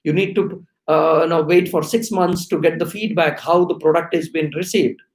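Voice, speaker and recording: A man's voice: on the high side, fairly even intonation, normal speed, reverberant. A man in his forties with an Indian English accent, whose first language is Oriya, hosting a live-stream talk in a chatty style full of fillers. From India, Odisha. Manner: chatty, many fillers like "you know" and "uh". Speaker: YouTube channel host, HR professional.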